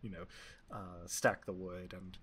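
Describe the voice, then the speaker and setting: A man with a fairly low voice runs a tabletop game. He narrates the scene haltingly as he improvises, with a wry touch. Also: level tone, fairly bright tone, slightly rough. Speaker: game master.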